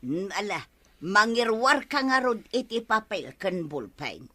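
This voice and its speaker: A woman acting a nagging, sharp-tongued village wife in an Ilocano radio comedy, with scolding, emphatic delivery. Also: mid-range voice, sing-song, measured pace, exaggerated voice. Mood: bossy, gossipy.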